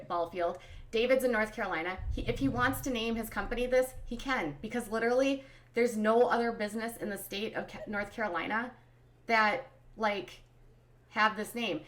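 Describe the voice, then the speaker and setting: A woman's voice: a little high, a bit bright. Exasperated Minnesotan woman ranting to viewers. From Minnesota, USA. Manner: exasperated, emphatic, addresses viewers as "you guys". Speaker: commentary channel host. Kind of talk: casual commentary stream.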